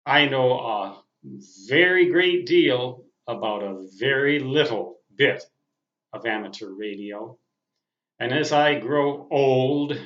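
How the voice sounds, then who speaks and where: Slow-talking man in his sixties, roomy sound. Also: medium pitch. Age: 60s. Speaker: veteran amateur radio operator. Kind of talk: casual reflective monologue. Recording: muffled audio in a roomy space.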